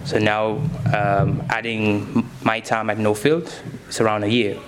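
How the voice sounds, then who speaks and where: A man's voice: fairly low pitch, level tone, measured pace, noisy background. A young man, answering interview questions as a science teacher in simple, enthusiastic phrases.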